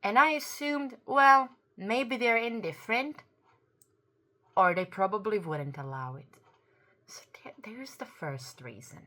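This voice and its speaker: A woman whose first language is Croatian talks through her opinion in an unhurried, deliberate way. Her voice is bright and forward, and she sounds earnest as she hunts for the right words and corrects herself. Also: medium pitch, lively intonation. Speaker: YouTube commentator. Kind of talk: opinion commentary to camera.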